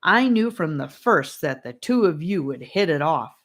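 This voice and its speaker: Calm friendly boy, steady, woman reading. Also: sits low, normal speed. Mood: assuring. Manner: steady, assuring.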